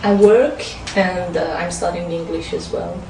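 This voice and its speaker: A woman's voice: lowish voice, steady tone, measured pace. A woman whose first language is Farsi, answering speaking test questions in a hesitant way with many fillers and restarts. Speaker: exam candidate. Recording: roomy, distant mic, clear background noise.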